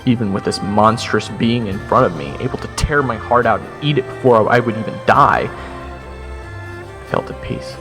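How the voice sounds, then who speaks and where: Man acting a gravelly, low-voiced young swordsman. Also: moderate pace, close to an everyday voice. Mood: hushed, awed. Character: nervous young swordsman recounting his dragon encounter. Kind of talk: first-person dramatic story narration with acted dialogue. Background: fantasy game-style music.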